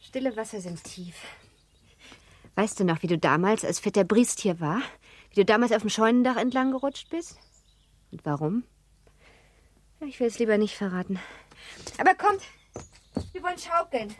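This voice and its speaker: A woman's voice: mid-range voice, expressive, normal speed, long pauses, no echo, lightly acted. An actress playing a playful, headstrong seventeen-year-old girl in a German literary radio play, with an impulsive, teasing, girlish way of talking. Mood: playful, eager.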